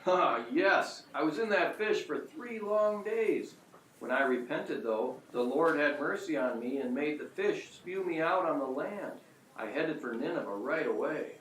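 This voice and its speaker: A man's voice: fairly high pitch, expressive, a little slow, a bit bright. Man as distant, roomy-sounding biblical prophet. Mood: earnest, amazed. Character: repentant but brooding male Hebrew prophet. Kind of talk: amateur audio drama acting. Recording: roomy, distant, noisy amateur recording.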